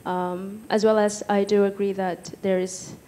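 A young woman from Egypt speaking hesitantly as a panellist on stage, pausing often, into a handheld microphone. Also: medium pitch, level tone, mellow. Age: young adult. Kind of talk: personal account in a panel discussion. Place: stage in an auditorium with audience.

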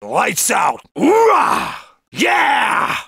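A man playing a cocky, tough American Marine with a raspy voice, delivering combat one-liners for a video game. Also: very high-pitched, wildly swooping pitch, extremely slow, sharp tone, no echo, shouting, clean studio sound, exaggerated voice. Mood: cocky, aggressive.

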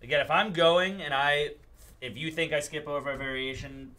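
A man's voice: a little high, normal speed, pushed and bright. A young man hosting a live card break, rattling off player names and chatting in a studio. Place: studio with table, indoors.

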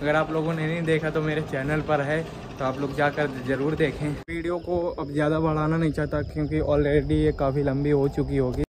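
Chatty young man, loud train noise. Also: on the high side, little pitch movement, fairly quick. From India. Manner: chatty, addresses viewers as brothers. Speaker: vlogger. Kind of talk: casual travel vlog commentary. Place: on a moving passenger train and at stations. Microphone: handheld phone.

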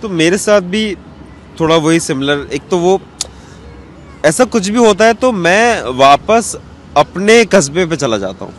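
A man's voice: high-pitched, very animated, unhurried. A man in his thirties speaking earnestly and casually as an interview guest, mixing English words into his speech. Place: outdoors in an open courtyard. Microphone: handheld small microphone. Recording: faint outdoor street background noise.

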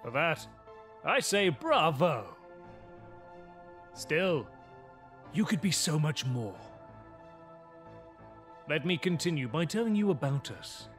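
An actor playing a cold, menacing, aristocratic male vampire in a horror audio drama, with formal, deliberate, theatrical delivery. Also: on the high side, very animated, a bit bright, full character voice. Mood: sinister, condescending. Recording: music behind the voice. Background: music.

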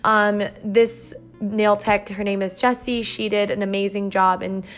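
A woman's voice: mid-range voice, little pitch movement, measured pace. Casual young woman vlogger over music. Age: 20s. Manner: chatty, informal, frequent "like". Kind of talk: casual vlog chat and narration.

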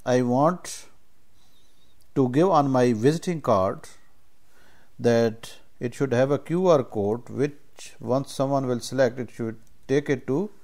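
Gravelly-voiced man giving a software tutorial. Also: mid-range voice. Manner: halting, with frequent check-in tags. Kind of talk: informal screen-share tutorial, explaining step by step.

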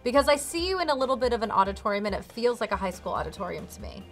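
A woman's voice: a little high, very animated, rapid-fire, fairly bright tone, soft background music. A middle-aged woman talking casually and animatedly as a tarot card reader, giving a reading in a studio. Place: dark indoor studio, seated at a table.

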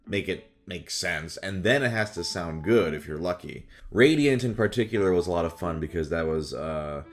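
A young adult man, a voice actor, chatting casually to camera in an animated way at home. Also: low-pitched, normal speed, soft background music. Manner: animated, slips into exaggerated character voices. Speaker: voice actor and video host. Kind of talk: casual chat to camera about voice roles. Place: small furnished room at home, indoors.

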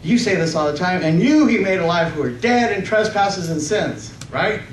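High-voiced man preaching, roomy sound. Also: animated. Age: middle-aged. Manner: halting, nervous delivery with frequent self-corrections and amens. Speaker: preacher at a church conference. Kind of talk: sermon with scripture reading. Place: church hall stage, indoors. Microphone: stand microphone, picked up by far camera. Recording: roomy, distant microphone with faint noise.

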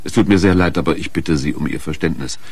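An actor playing an earnest, conscientious doctor in a radio crime drama, formal and pleading, with a husky voice. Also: male voice, a bass voice, fast. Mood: grave, apologetic. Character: earnest, conscientious male doctor shocked by a confession.

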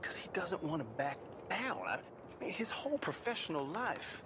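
A man's voice: high voice, big pitch swings, moderate pace, background noise. An actor playing a concerned army man confiding grim intrigue in a video game drama, urgent in manner.

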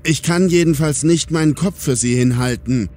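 A man's voice: a little high, normal speed, a little mellow, soft background music, lightly acted. A man playing a diplomatic, calculating police commander in a German audio drama. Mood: angry, tense.

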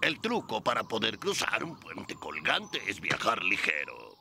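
A man voicing a scheming, greedy buzzard guide in a slapstick cartoon, gravelly, sly and exaggerated in his boasting. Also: lowish voice, wildly swooping pitch, unhurried, background noise, heavily acted. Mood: sly, boastful. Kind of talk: Spanish-dubbed slapstick cartoon acting. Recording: cartoon music behind the voice.

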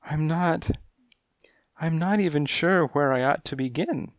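A dainty, flirtatious female cat coos her tale in a high, breathy voice, as a man reads her part. She gushes and flutters, dramatic but unhurried. The sound is dry and close, like a storybook reading. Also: dry recording, close to an everyday voice. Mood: coy. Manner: breathy, gushing, coy.

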